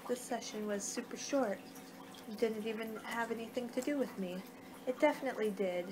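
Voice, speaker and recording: A young woman doing an ASMR card-reading role-play with a halting, unhurried delivery. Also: fairly high pitch. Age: young adult. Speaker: ASMR performer in a fortune-teller role-play. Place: small home room, indoors. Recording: noisy amateur webcam-style room recording.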